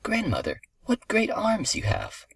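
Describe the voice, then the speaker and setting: Man putting on a high, innocent little girl. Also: big pitch swings, fairly quick, fairly bright tone, slightly rough, no echo, full character voice. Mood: astonished.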